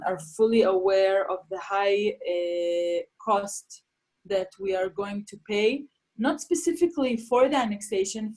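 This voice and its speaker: A woman's voice: medium pitch, poor audio. Young woman comedian, Farsi native, casual chatty guest, lots of ums and restarts. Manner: animated, many fillers and restarts. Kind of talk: casual remote interview.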